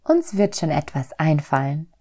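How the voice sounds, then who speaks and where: Friendly spirit, acted by a woman, mellow, gentle, loving, earnest, reassuring, storybook reading. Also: low-pitched, sing-song, measured pace, full character voice. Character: kindly loving soul, gentle and reassuring helper.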